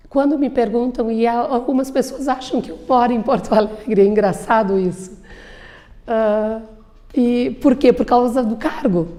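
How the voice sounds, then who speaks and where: Slow, mellow-voiced Brazilian gaúcho woman. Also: fairly high pitch. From southern Brazil. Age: 50s. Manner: earnest, emphatic. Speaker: lawyer and businesswoman. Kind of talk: interview answer.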